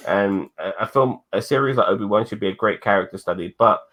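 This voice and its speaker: A man in his forties talks in a casual, rambling way, dropping swear words freely. His voice sits a little low for a man. He keeps going in long, loose runs of thought. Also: fast, a bit bright. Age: 40s. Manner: rambling and profane, swearing often. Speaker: podcast co-host. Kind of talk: casual chat.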